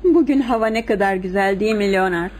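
A woman's voice: medium pitch, quick pace, a little mellow, slight background noise, close to an everyday voice. An actress playing an anxious, devoted woman with a guilty secret, hesitant and melodramatic, in a radio drama. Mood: uneasy.

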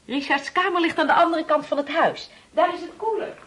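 A woman playing an anxious, hospitable wife and mother in a Dutch radio play, expressive and coaxing. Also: extremely high pitch, fairly quick. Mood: warm, coaxing.

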